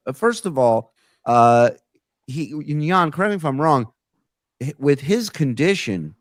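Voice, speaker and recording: A man's voice: mid-range voice, no room sound. A man hosts a loose, conversational show. His voice sits in a middle register and is captured very dry, with little room around it. He tells stories bluntly and swears freely. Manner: profane, blunt. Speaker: talk show host. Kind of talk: casual conversational storytelling.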